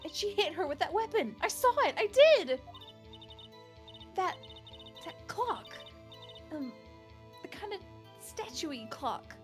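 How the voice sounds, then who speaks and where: A woman plays a sweet young witness with a very high voice. She is coy, sly and insistent, in the manner of a courtroom game. Music plays behind her. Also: big pitch swings, slow, pauses often, heavily acted. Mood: insistent testimony. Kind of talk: amateur fan dub of a courtroom game.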